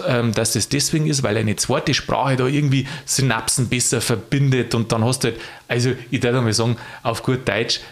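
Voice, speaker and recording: Chatty man, animated. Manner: chatty, animated, swings pitch up for emphasis. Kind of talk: casual chat.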